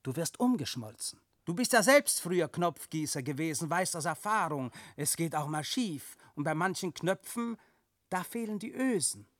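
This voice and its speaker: An actor playing an uncanny, unbending button moulder who collects souls, deliberate, sly and mock-friendly in a German radio drama. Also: male voice, on the high side, lively intonation, fairly bright tone, no room sound, clean studio sound. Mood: sardonic. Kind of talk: theatrical German radio drama acting in verse.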